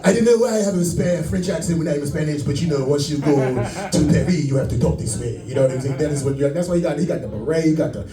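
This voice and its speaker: Guy doing crowd work at a comedy club, kinda high voice, crude and giggly, roomy echo. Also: lively intonation, fast talker, few pauses, distant mic. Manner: loose, crude, laughing at his own jokes. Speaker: stand-up comedian.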